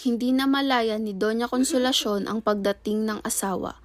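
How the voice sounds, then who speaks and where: A woman's voice: on the high side, moderate pace. A woman reading scripted narration for a radio play as its storyteller. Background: music under the voice.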